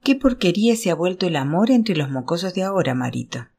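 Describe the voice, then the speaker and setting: A woman's voice: fairly low pitch, big pitch swings, a little fast, vocal fry, a little mellow, husky, exaggerated voice. A woman voicing a teasing older woman in a novel, complaining nostalgically with mock disappointment. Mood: mock-disappointed.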